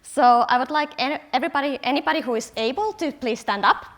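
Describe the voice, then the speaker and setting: A woman whose first language is Finnish, giving a playful conference talk and leading the audience in a warm-up on stage. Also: sits high, fast talker. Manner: playful, upbeat, instructing the audience. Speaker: conference speaker. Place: large conference hall on stage. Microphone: stage mic.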